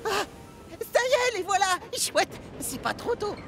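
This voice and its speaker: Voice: very high-pitched, very animated, a little slow, music in the background, exaggerated voice. A performer voicing an eager young male steam engine in a children's cartoon, with a plucky, insistent delivery. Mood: impatient, eager. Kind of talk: animated children's cartoon dialogue.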